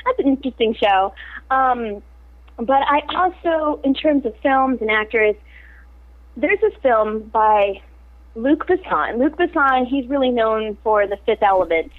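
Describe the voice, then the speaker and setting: A woman's voice: on the high side, lively intonation, a little slow. A woman actress in a casual interview, talking animatedly and laughing often. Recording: tinny phone-like line.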